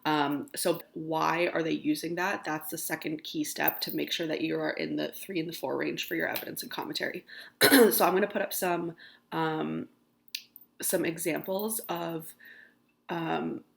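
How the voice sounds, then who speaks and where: A woman's voice: low-pitched, fairly even intonation, moderate pace. A young woman teacher with a crackly morning voice, talking casually to her class with lots of ums. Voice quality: gravelly. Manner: casual with many ums, encouraging. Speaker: high school teacher. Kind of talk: recorded lesson to students.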